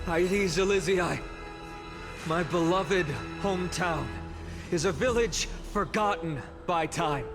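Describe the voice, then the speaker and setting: A man's voice: high-pitched, takes it slowly. A young man playing an earnest, humble village hero in a video game, speaking sincerely and politely. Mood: wistful. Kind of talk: scripted game voice acting. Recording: music behind the voice.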